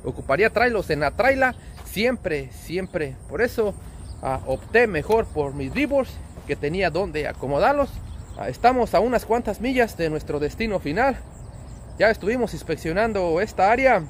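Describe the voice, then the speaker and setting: A man with a Mexican accent and a notably high, lively voice talks casually in a trucker's vlog. His delivery is friendly and full of slang and diminutives, explaining things step by step over faint outdoor vehicle noise. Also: normal speed. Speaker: trucker vlogger.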